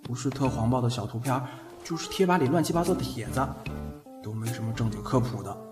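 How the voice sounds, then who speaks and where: A man's voice: mid-range voice, expressive, fast, lightly acted. Man acting as flustered, boyish young boyfriend over music. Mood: nervous, puzzled. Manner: flustered, stammering. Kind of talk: Chinese audio drama acting.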